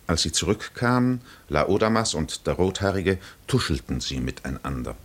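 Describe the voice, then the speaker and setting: Deep-voiced, measured male radio narrator. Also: big pitch swings. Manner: measured, deliberate phrasing with pauses. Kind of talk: literary scripted narration in a 1950s German radio drama.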